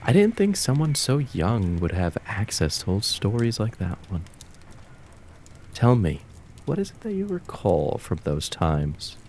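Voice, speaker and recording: A man voicing a cruel, taunting kitsune fox spirit of the woods in an ASMR roleplay, with drawn-out, mocking, menacing words. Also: lowish voice, wildly swooping pitch, darkish tone, slight background noise, full character voice. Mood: mocking, scornful.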